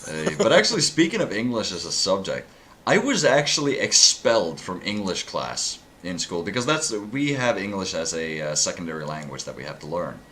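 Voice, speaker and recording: Deep-voiced Norwegian young man. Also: sing-song, moderate pace, pushed and bright, a little hiss or hum. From Norway. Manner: rambling, jokey, loses his train of thought. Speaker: podcast co-host. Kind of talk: casual chat.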